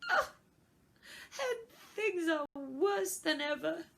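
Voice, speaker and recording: A woman's voice: very high voice, animated, slow pace, creaky, fairly bright tone, voice pushed up, exaggerated voice. A woman voicing a fretful, puzzled young girl in a storybook, with a plaintive, dramatic manner. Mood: dismayed. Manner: plaintive, dramatic, self-questioning.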